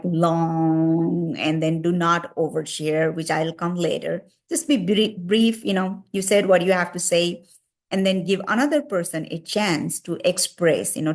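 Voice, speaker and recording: A woman in her thirties from Nepal with a Nepali accent, giving casual advice and leaning often on "you know" and "like.". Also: lowish voice. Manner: heavy use of fillers "you know" and "like".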